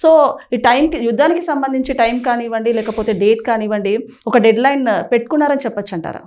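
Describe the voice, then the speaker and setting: A woman's voice: a little high, fast talker, a little mellow. A woman interviewing a guest about the news in a conversational style.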